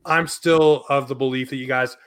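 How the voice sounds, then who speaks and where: A man's voice: mid-range voice, steady tone, moderate pace, fairly bright tone, smooth. A man hosting a sports podcast, giving casual, blunt opinions in short colloquial phrases.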